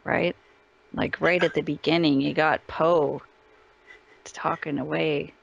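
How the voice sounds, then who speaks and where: A woman's voice: sits low, fairly even intonation, takes it slowly, gravelly, slightly rough, muffled recording. A woman chatting casually as an interview guest, agreeable in manner. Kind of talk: casual chat.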